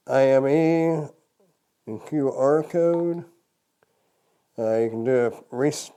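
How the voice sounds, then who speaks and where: A man's voice: mid-range voice, dark tone, smooth. Older man giving a casual product demo. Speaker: product reviewer. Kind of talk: casual hands-on product review.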